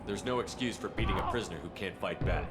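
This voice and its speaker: An actor playing a tough, no-nonsense military commander in a video game, terse and blunt. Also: male voice, deep voice, fast, loud music behind the voice, close to an everyday voice. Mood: threatening.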